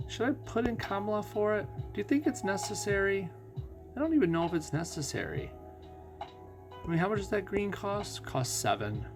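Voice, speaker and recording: A man giving casual game commentary as host of a playthrough, thinking out loud and putting questions to himself. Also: sits high, lively intonation, normal speed. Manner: thinks out loud, asking and answering own questions. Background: music.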